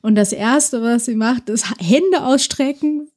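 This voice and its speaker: A woman's voice: a little high, unhurried, darkish tone. A woman, a biologist co-hosting a podcast, who jokes around and laughs often. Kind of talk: casual science chat.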